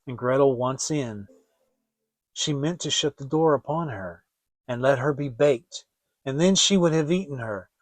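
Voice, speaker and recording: Man, theatrical audiobook narrator, fairy tale reading, slow pace, dry recording. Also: mid-range voice, no room sound. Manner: theatrical, expressive. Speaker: storyteller, audiobook reader.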